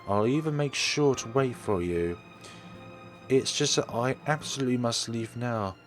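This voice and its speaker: A man playing a gentle, caring, quietly worried woman schoolteacher in a visual novel read-through. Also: mid-range voice, lightly acted. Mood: gentle, apologetic.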